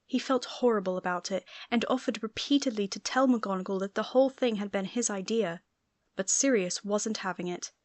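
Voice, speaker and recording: A woman's voice: medium pitch, brisk, a little mellow, dry recording. A woman reading scripted story narration as an audiobook narrator.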